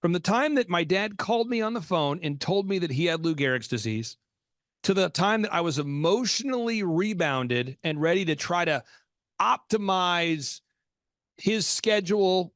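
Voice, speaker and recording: High, dry-sounding male host, animated, preachy, punchy repetition. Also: normal speed, dry recording. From Oklahoma. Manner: animated, preachy, repeats punchlines with sudden shouts. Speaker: podcast host. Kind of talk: energetic business podcast talk.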